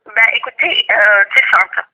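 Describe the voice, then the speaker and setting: A woman's voice: mid-range voice, normal speed, bright, forceful tone, rough recording. A woman in her forties from DR Congo, answering interview questions hesitantly with many fillers and indignant denials.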